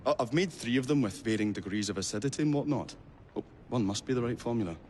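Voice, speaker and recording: A man plays an eccentric inventor in a video game. He is nervous and earnest, stumbling fussily through wordy explanations at an average pitch. A faint bed of ambient noise sits behind him. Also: fast talker, a little mellow, close to an everyday voice. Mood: nervous, eager. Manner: hesitant, fussy, flustered, wordy. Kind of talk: video game cutscene acting.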